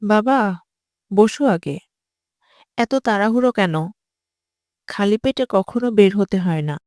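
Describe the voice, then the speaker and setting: A woman voicing a gentle, devout mother who guides her child in a scripted cartoon. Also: mid-range voice, expressive, a little slow, dry recording, studio quality, natural voice. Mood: caring, instructive. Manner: nurturing, gently instructive.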